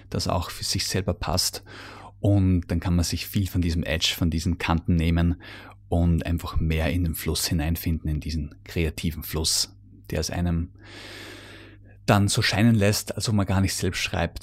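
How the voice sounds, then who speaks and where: A man's voice: low-pitched, slightly rough. A man coaching viewers directly on content marketing, with many fillers and self-corrections, and English business terms mixed into his speech. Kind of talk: solo teaching monologue on content marketing.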